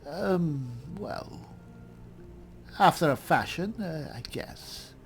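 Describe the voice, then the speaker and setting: A man's voice: on the high side, animated, slow, soft background music. A man roleplaying a haughty elf as he comments on a fantasy game, dry and condescending. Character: haughty male high elf spellsword. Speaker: gamer, roleplay series host.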